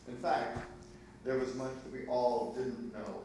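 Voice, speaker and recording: A man's voice: mid-range voice, steady tone, unhurried, lightly acted. A man playing a knowing, corrective senior disciple in an amateur dramatic reading. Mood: knowing, measured. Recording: echoey, distant, noisy hall.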